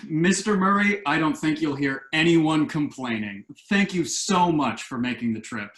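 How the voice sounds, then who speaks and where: A man acting in a play reading as an earnest, slightly flustered hopeful entrepreneur, speaking haltingly. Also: a little high, expressive, a bit bright. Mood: relieved, grateful. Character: earnest adult son and hopeful entrepreneur, husband, somewhat flustered. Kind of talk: virtual stage play reading. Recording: roomy, echoing home recording.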